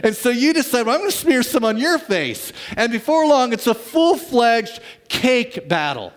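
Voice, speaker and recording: A man preaching a sermon to his church as pastor, telling stories dramatically and swinging from lively to hushed. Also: extremely high pitch, moderate pace.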